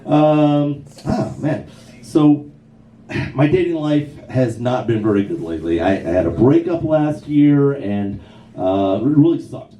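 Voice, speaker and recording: A man's voice: mid-range voice, unhurried, mellow, reverberant, recorded from a distance, dull audio. A man in his fifties doing a loose, rambling stand-up set at a small comedy night, with lots of "man" and "bro" fillers and an audience laughing around him. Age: 50s. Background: audience laughter and heckles.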